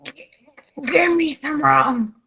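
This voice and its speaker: Gravelly drunken old sea captain. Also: female voice, high-pitched, slow, sharp tone, heavily acted. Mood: shaken, demanding. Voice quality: raspy, gravelly. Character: gruff drunken old sea captain, fierce then pleading. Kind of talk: radio play acting.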